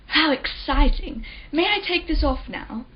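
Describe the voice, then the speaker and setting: Actress as posh-mannered rich lady in her 40s, chatty radio drama, highish voice, bit dull sound. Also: lively intonation, unhurried. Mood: breezy, chatty. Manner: polite, chattering, self-assured. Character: wealthy, well-spoken, chatty woman in her forties. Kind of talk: radio play acting. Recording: slightly dull, faint crowd behind.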